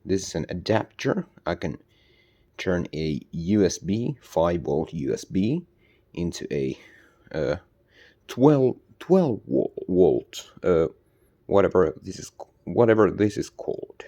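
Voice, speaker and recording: A man with a low, gravelly voice hosts a casual DIY demonstration. He talks slowly and hesitantly, searching for words, with a lively swing in his pitch. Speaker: DIY video host.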